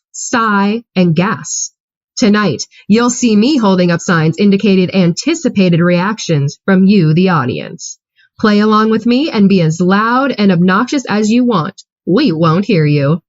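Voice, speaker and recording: A woman's voice: medium pitch, no room sound, lo-fi sound. A woman giving a wry, scripted introduction to an amateur radio play over a video call. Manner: dry, wry. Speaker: narrator and stage manager.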